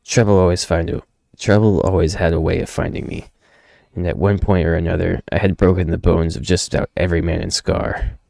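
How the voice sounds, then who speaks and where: A man reading as a gruff, haunted loner who narrates a grim past in a brooding, world-weary manner. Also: low voice, level tone, fairly quick, darkish tone, no echo. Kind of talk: dramatic first-person comic narration.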